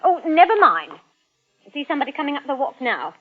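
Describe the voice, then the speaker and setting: Bossy, high-voiced nagging wife. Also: female voice, sing-song, fast. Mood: suspicious, critical. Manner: imperious, clipped, scolding. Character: bossy, nagging middle-class wife, scornful and domineering. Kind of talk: old-time radio drama acting. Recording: muffled old radio recording.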